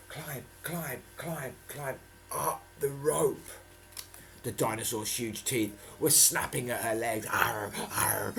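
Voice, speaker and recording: A man's voice: medium pitch, creaky, a bit bright, a little hiss or hum. A man reading a storybook dramatically and breaking off for chatty, playful asides.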